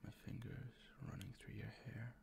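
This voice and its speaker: A man performing improvised boyfriend ASMR in a breathy, gravelly whisper, tender and soothing, close to the microphone. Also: medium pitch, little pitch movement. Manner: tender, slow, soothing, gently coaxing.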